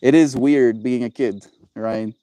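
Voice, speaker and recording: A man's voice: mid-range voice, steady tone, measured pace, mellow, no echo, rough recording. A young man chatting casually on his livestream, laid-back and encouraging, answering viewers and calling them bro.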